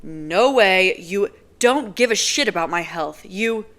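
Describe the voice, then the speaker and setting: A woman's voice: lowish voice, slow. A woman voicing a furious, accusing young man. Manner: accusing, loud and heated.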